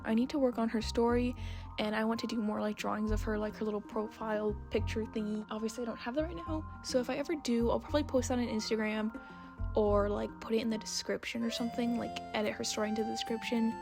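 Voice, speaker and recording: A woman's voice: fairly high pitch, fairly even intonation, fast, vocal fry. A young woman giving a casual, chatty voice-over in a rambling, self-deprecating way. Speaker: video creator and artist. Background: background music.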